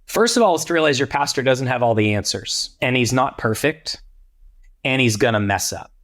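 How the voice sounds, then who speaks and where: Animated man giving leadership advice. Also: mid-range voice, normal speed. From Texas, United States. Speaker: podcast panelist, church leadership coach. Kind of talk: conversational advice on a podcast.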